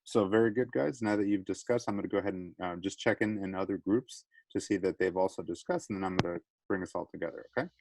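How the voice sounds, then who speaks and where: Man, teacher, very deep voice, encouraging, dry online call audio. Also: fast, creaky, hardly any pauses, a little mellow, no room sound, rough recording. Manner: encouraging, checks in often. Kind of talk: online class discussion. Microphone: video call.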